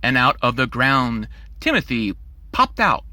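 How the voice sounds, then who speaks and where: A man's voice: mid-range voice, sing-song, a little slow, sharp tone, no echo. A man narrating a rhyming children's storybook in a theatrical, playful way.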